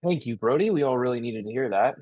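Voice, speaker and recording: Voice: mid-range voice, expressive, quick pace, a little mellow, muffled recording, lightly acted. An actor playing a cheerful, easygoing male schoolteacher at a table read, coaxing and upbeat as if to a class.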